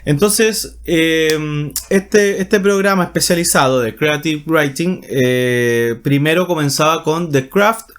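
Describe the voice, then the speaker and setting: A man's voice: fairly high pitch, slow, a bit bright, clear, resonant tone. A man with a Chilean Spanish accent talking casually and rambling, with many fillers and self-corrections as he explains his path as a writer. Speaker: writer and channel host. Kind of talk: casual monologue.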